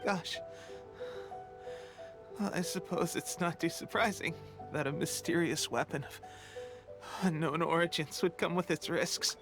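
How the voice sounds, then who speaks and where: An actor playing a young resistance soldier who is weak and tired, coughing and speaking in halting, hesitant phrases. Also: male voice, a little high, a bit bright. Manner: halting, hesitant, with trailing restarts. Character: young male resistance soldier, loyal, fading. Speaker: game character. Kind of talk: game cutscene voice acting.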